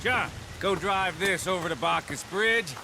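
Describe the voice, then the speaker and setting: An actor playing a sly, swaggering American outlaw villain in a video game, drawling and taunting in a smug way. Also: male voice, high-pitched, unhurried, creaky, background noise. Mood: mocking. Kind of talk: video game cutscene dialogue.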